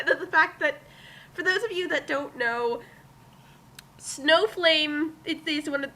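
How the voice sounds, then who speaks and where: Female co-reader, high voice, unhurried comic dramatics, music underneath. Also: bright, forceful tone, a little hiss or hum, poor audio. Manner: exaggerated, theatrical when reading aloud. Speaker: co-host of a fan fiction reading. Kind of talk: dramatic comic reading with casual commentary.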